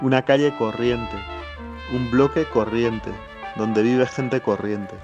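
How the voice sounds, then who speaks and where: A man's voice: mid-range voice, steady tone, takes it slowly, lo-fi sound. A man delivering a dramatic scripted podcast introduction as narrator. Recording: loud music under narration.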